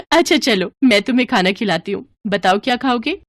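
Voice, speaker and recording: Woman as high-voiced playful fairy princess. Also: lively intonation, normal speed, no echo, clean studio sound. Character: playful young fairy princess, once trapped in an eggplant. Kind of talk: animated moral story dialogue.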